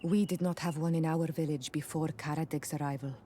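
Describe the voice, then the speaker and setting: Woman doing a defiant young clan daughter in a video game, kinda low voice, serious, bit of noise behind. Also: steady tone, a little fast, warm tone, close to an everyday voice. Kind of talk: video game cutscene acting.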